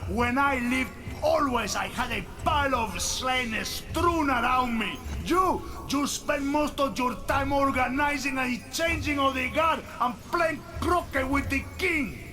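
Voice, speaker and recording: An actor playing a boastful, bloodthirsty undead barbarian warrior in a video game, blustering and indignant. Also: male voice, very high-pitched, unhurried, few pauses. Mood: indignant boasting. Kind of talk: video game cutscene acting. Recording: loud game music behind the voice.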